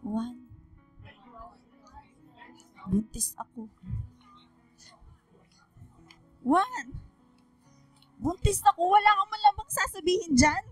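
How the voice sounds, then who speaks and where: A woman's voice: very high-pitched, big pitch swings, unhurried, soft background music, full character voice, low-quality recording. A woman acting an emotional young mother in a radio drama, pleading and scolding. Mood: anxious, hesitant. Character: young pregnant girlfriend, later a nagging, caring mother.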